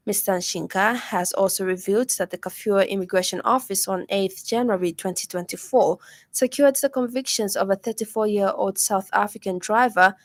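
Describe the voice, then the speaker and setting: A woman with a Zambian accent presents a radio news bulletin. Her pitch sits in the middle range, and she reads formally from a script. The studio sound is dry and free of echo.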